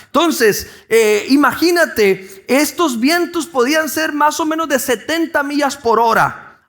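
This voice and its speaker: A man's voice: very high-pitched, a bit bright. A man from Mexico, a pastor with a Sonoran coastal Mexican Spanish accent, preaching a sermon in a conversational way, asking the congregation questions and restarting his phrases.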